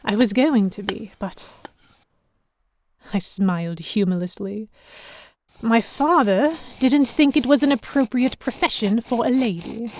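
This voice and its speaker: A woman playing a sharp-tongued young lady hostage among pirates, narrating her own story in an expressive, introspective way. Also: on the high side, unhurried. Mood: wistful. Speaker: narrator and lead character. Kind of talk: first-person audio drama narration.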